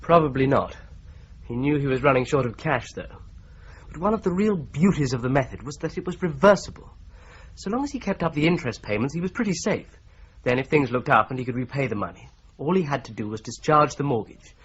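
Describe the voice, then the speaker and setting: An actor playing a methodical solicitor-detective who patiently lays out a fraud theory, in a radio drama. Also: male voice, mid-range voice, animated, fairly quick, slight background noise, close to an everyday voice. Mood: explaining. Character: Boone, shrewd young male solicitor-detective, patient explainer. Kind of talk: BBC-style radio drama acting. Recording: dull lo-fi radio play recording.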